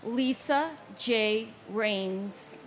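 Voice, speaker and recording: Woman with a slightly high voice, reading names very slowly and deliberately at a memorial. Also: slight background noise. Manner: very slow, deliberate and solemn. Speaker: name reader. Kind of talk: memorial ceremony name reading.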